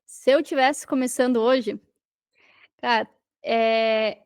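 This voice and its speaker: A woman's voice: on the high side, fairly even intonation, takes it slowly, clear, resonant tone. A Brazilian woman speaking as a podcast guest and coach, explaining in an informal, didactic way with emphatic repetition. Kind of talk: casual explanatory interview talk.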